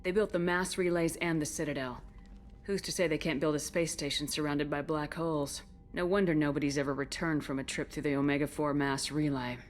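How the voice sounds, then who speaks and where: An actress playing a tough, skeptical military commander in a video game, blunt and commanding. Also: female voice, low voice, fairly even intonation, brisk, faint music behind, close to an everyday voice. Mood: firm, determined. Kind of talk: dramatic video game dialogue.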